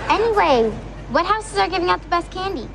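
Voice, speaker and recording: A woman's voice: very high-pitched, lively intonation, fairly quick, gravelly, some chatter behind, full character voice. A young actress playing a scheming, wisecracking preteen girl in a TV sitcom, with audience and noise behind her. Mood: bubbly. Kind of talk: sitcom acting with live audience. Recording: noisy TV sitcom with studio audience.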